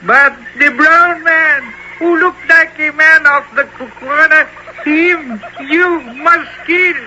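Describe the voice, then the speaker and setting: A woman playing a tiny, hideous, ancient sorceress in a radio drama, malicious and shrieking, prophesying slowly and ominously. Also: sits high, bright, forceful tone, heavily acted. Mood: ominous, menacing. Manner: slow, ominous accusing and prophesying. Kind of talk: 1970s radio drama acting. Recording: noisy old radio drama recording.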